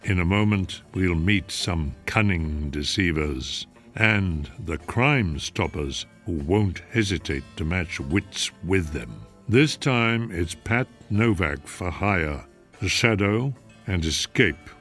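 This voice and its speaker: A man hosting a radio mystery show, reading scripted narration in a measured, dramatic way. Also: low-pitched, expressive, fairly bright tone, faint music behind.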